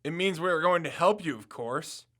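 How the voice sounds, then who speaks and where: A man's voice: on the high side, animated, moderate pace, bright, forceful tone. A young man playing an eager, confident college student turned amateur detective in an audio drama. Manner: confident, insistent.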